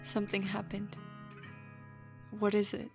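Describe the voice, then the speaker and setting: An actress playing a sad, perceptive mystic shopkeeper in an audio drama. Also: female voice, medium pitch, completely flat delivery, a little slow, vocal fry, music in the background. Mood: knowing, guarded. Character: sad, perceptive woman keeping a mystic bookshop.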